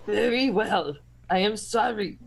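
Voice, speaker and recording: A woman playing a jealous, violent young woman of the Casbah in a comic radio play, melodramatic and drawn-out. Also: medium pitch, gravelly. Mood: sullen. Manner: melodramatic, throaty put-on voice, drawn-out lines.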